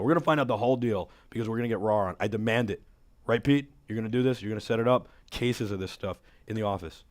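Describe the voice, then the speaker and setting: Hoarse, excited talk show host, man. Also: lowish voice. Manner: energetic, enthusiastic promo patter. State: hoarse from a cold. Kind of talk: upbeat talk show hosting. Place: studio with city backdrop. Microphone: headset mic.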